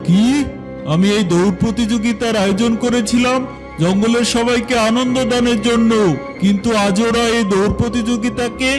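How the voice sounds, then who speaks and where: Man voicing a high-pitched, stern cartoon lion king. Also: steady tone, moderate pace, over music. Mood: stern, indignant. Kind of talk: children's cartoon voice acting.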